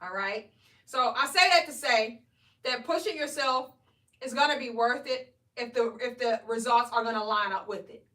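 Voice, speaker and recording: A woman, coaching weight loss on a live stream in an energetic, preachy way, often asking "right?". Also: on the high side, moderate pace, roomy sound, recorded from a distance. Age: 40s. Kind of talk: live stream motivational talk.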